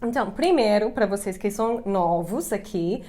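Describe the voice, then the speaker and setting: A woman's voice: mid-range voice, expressive, normal speed, mellow. An American woman from Chicago, a YouTube host in her thirties, chatting casually in Portuguese with English slipped in, laughing easily. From United States, Chicago. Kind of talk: casual chat on camera.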